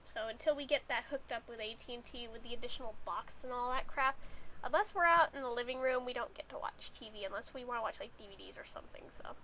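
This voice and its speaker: Young woman vlogger, high and bright, hoarse and stuffed up from a cold, rambling chatty delivery, faint hiss behind. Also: fast, rough recording. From California, USA. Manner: chatty, rambling, wry asides. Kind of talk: casual chat to camera. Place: bedroom.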